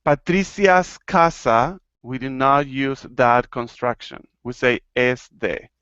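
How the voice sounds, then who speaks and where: A man from Argentina teaching an online Spanish class, playful and clear, slowing down to model phrases. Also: medium pitch, a bit bright, dry recording, muffled recording. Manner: playful, laughing, slow and clear when teaching. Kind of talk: live online language class.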